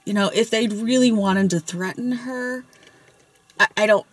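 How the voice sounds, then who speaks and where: A woman's voice: mid-range voice, a little slow, dry recording. A woman discussing a film in a parked car at night, speaking thoughtfully and often adding short agreeing replies. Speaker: co-host.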